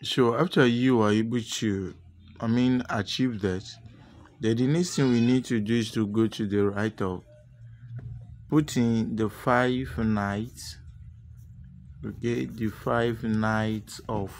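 A man narrating a step-by-step software tutorial unscripted, with halting, repetitive instructional phrasing. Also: mid-range voice, steady tone, a little hiss or hum. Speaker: tutorial presenter.

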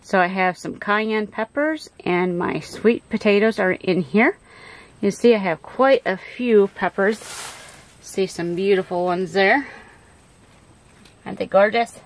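Relaxed woman touring her garden. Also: mid-range voice, unhurried. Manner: relaxed, explanatory, frequent ums and uhs. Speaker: homestead gardener giving a garden tour. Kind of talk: casual garden tour narration. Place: outdoor backyard garden and greenhouse.

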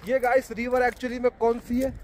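Man, travel vlogger, high-pitched, chatty, outdoors, faint background noise. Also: level tone, fairly quick. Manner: chatty, addresses viewers as brother. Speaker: travel vlogger and tour guide. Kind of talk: casual travel vlog narration. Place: outdoors in mountain valley and on road.

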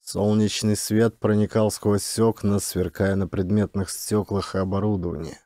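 A man narrating a scripted science fiction audiobook dramatically, with suspenseful, deliberate delivery. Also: lowish voice, level tone, warm tone.

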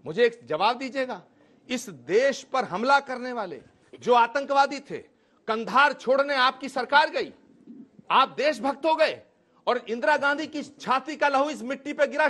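Very high, forceful declaiming man. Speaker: opposition party spokesman. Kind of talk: combative political debate speech.

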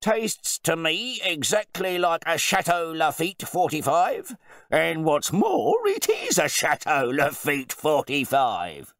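A man voicing a pompous, blustering, newly rich wine bore with a loud, boastful manner and rough grammar, in a story reading. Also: on the high side, wildly swooping pitch, takes it slowly, no room sound, clean studio sound, voice pushed up, full character voice. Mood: mocking, boastful.

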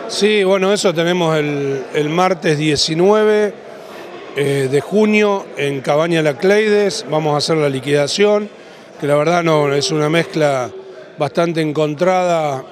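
Halting Argentine man, higher-pitched voice. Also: a crowd in the background. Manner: halting, repeats and rephrases phrases. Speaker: livestock auctioneer and consignee. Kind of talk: on-camera interview answers. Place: large indoor event hall after an auction. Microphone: handheld interview microphone.

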